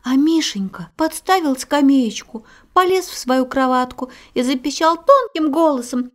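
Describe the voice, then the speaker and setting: Animated woman reading a children's tale. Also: high-pitched, measured pace. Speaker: storyteller. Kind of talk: expressive children's fairy-tale narration.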